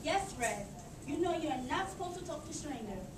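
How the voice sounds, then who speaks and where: A woman's voice: high-pitched, lively intonation, normal speed. A woman voicing an anxious, loving old grandmother in a children's puppet show. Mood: affectionate. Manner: fretful, affectionate. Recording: distant echoey room with audience noise.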